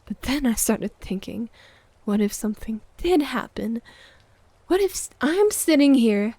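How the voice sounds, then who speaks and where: A woman's voice: fairly high pitch, lively intonation, a little slow, mellow, dry recording. A woman playing a jealous, needy, wounded girlfriend in an ASMR roleplay audio drama, sighing and often dropping toward a whisper. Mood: agitated, accusing.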